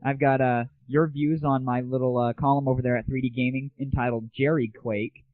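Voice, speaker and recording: A man's voice: medium pitch, little pitch movement, brisk, dry recording, phone-quality sound. A man introducing his internet audio show in a casual, chatty style as host.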